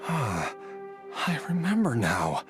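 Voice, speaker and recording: A troubled but determined young man in a video game. He speaks slowly and haltingly, with earnest, very changeable intonation. Music plays clearly behind him. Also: medium pitch, huge pitch swings. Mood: struggling, frustrated. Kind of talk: video game character acting.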